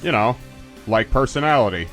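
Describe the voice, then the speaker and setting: A man's voice: mid-range voice, lively intonation, normal speed, fairly bright tone, soft background music. A man casually chatting and joking as a commentator while a game's credits roll. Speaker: let's play commentator. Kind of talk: casual game commentary chat.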